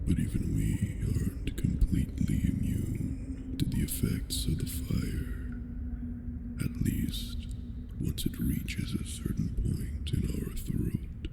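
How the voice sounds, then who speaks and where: A performer voicing an ancient, imperious, seductive dragon master with a burned, gravelly vocal fry, in an ASMR roleplay. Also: close to an everyday voice. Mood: measured, brooding. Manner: slow, commanding, condescending, teasing.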